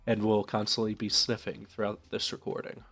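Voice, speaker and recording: A man's voice: lowish voice, monotone, fairly quick, fairly bright tone, faint music behind. A young man with a stuffed-up, nasal voice from a cold, casually commenting on a game while thinking aloud with many fillers. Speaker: let's play host.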